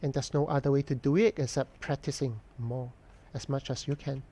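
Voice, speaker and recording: A man, chatting casually to viewers while he draws on a live stream, answering questions in an unhurried, thoughtful way with frequent pauses. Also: mid-range voice, steady tone, mellow. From Hong Kong. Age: 40s. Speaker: artist live-streaming a drawing session.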